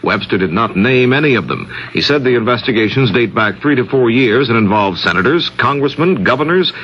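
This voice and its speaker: An American man reading a scripted radio news bulletin as the anchor. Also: mid-range voice. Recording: muffled, noisy 1970s radio broadcast.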